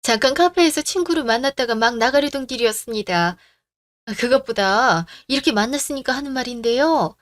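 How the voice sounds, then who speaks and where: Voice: mid-range voice, lively intonation, fairly quick, a bit bright, close to an everyday voice. A woman voicing a courteous real estate agent who speaks in a polite, businesslike way in a story radio drama.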